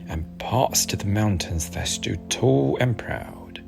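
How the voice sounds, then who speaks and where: A man softly narrating a soothing ASMR bedtime story in a gentle, unhurried, hushed manner over music. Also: lowish voice, animated, creaky. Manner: gentle, hushed, unhurried, at times near a whisper. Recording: music behind the voice.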